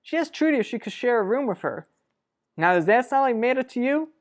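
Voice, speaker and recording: Woman acting as protective sister, slightly high voice, earnest, deliberate, persuasive, old-fashioned radio soap delivery. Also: expressive, close to an everyday voice. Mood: anxious, insistent. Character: earnest, protective sister pleading for a troubled couple. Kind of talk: revived vintage radio soap drama acting.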